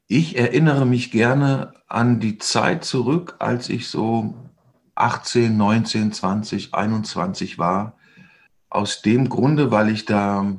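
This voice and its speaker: Man, 50, lower-pitched, musing interview guest who weighs each answer. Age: 50s. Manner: thoughtful, hesitant with fillers. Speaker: guest, stage actor and director.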